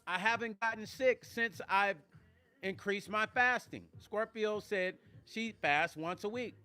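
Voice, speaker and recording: Fifties guy hosting his livestream, animated and preachy, higher voice, faint music behind. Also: unhurried. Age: 50s. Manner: animated, preachy, talks straight to viewers. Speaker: vlog host reading live chat. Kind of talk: casual livestream chat.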